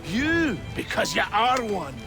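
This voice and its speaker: An actor playing a genial, cheeky working-class lumberjack in a video game, colloquial and full of banter. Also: male voice, high-pitched, sing-song, slow, over music, heavily acted. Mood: teasing. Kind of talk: video game character acting.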